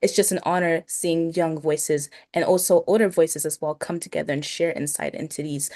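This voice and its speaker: Lower-pitched young woman on a panel. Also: steady tone, normal speed, warm tone. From Nigeria. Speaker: youth panelist. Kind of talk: panel remarks, partly prepared.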